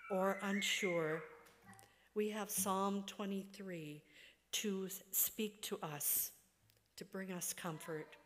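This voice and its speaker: A middle-aged or older woman preaching a sermon from a church pulpit, phrase by deliberate phrase, letting sentence ends trail away. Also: mid-range voice, a little hiss or hum. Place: church sanctuary, at a wooden pulpit. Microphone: gooseneck pulpit microphone. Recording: long reverberant church hall.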